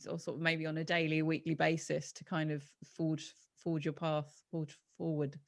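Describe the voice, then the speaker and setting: A woman hosts a relaxed conversational interview in a slightly low voice with a lot of vocal fry. She phrases her questions informally and at length, feeling her way through them. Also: moderate pace. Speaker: interviewer, podcast host.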